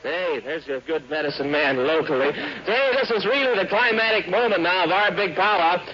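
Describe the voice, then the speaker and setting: A man's voice: high-pitched, expressive, normal speed, pushed and bright. An American man hosting a 1940s children's radio show in a hearty, folksy showman's manner. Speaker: radio show host and announcer. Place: live radio broadcast before an audience. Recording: dull, noisy 1940s radio recording.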